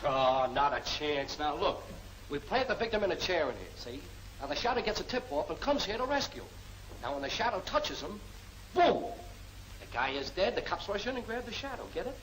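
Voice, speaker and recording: A man's voice: sits high, sing-song, a little fast, fairly bright tone. An actor playing a cocky gangster henchman in an old film serial, with slangy, chummy tough talk that carries menace. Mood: coaxing, menacing. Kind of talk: 1940s film serial acting. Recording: noisy old film soundtrack.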